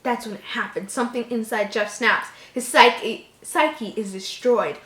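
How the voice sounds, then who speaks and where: A woman's voice: a little high, unhurried, a bit bright, reverberant. A woman reading a horror story aloud casually, giggly and reactive, breaking off to joke and comment.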